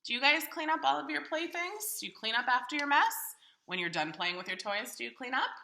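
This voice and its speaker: A woman reads a picture book to young children with theatrical expression. Her voice is high, very bright and animated, and the room sounds echoey. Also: gravelly, slight background noise. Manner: theatrical, pauses to question young listeners. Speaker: children's storybook reader.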